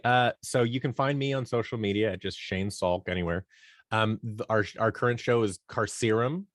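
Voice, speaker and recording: A man gives casual interview answers in a slightly low voice. His sound is dry, with little room in it. He talks informally, slipping into imagined quotes as he explains. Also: dry recording. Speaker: podcast guest, audio drama producer.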